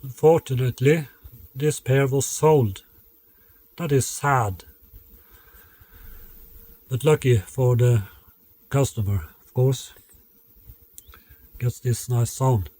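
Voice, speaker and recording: A man who designs loudspeakers explains his work in a halting, matter-of-fact way, stopping often to find his words. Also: mid-range voice, no room sound.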